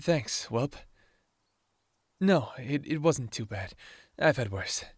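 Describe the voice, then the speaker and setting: A man plays an easygoing werewolf in an audio roleplay. His voice is middle-pitched, and he talks in a low-key, matter-of-fact way. The recording is close, with little room sound. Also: expressive, slow pace, slightly rough, no room sound. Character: easygoing, understated male werewolf.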